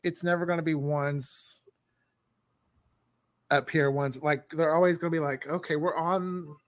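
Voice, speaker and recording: A man's voice: fairly high pitch, steady tone, measured pace, dry recording. A man talking casually as one of the writers on a behind-the-scenes podcast. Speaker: audio drama writer and podcast host. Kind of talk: casual chat.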